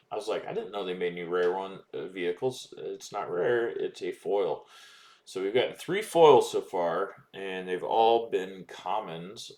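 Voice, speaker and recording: A man's voice: deep voice, darkish tone. A man casually commenting as he opens booster packs, reading card text aloud with plenty of ums and uhs. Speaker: card-opening streamer.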